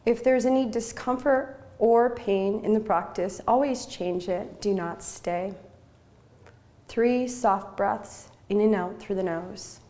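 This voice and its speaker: A woman yoga instructor leading a guided relaxation in short, measured, soothing phrases with pauses between them. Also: mid-range voice, mellow.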